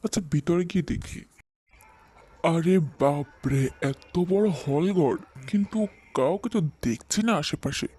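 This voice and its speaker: A man's voice: fairly high pitch, expressive, a little slow, darkish tone, slightly rough. A man voicing a kindly, weary middle-aged father in a cartoon fairy tale, affectionate and plaintive. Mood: weary wonder.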